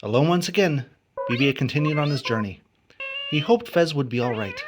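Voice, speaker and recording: A man narrating a children's story as an expressive read-along. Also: on the high side, brisk. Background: music and sound effects.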